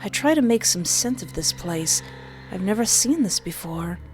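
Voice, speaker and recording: A woman's voice: medium pitch, expressive, normal speed, a little mellow, soft background music. A young woman reading a visual novel aloud and breaking in with playful, joking asides. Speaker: game streamer.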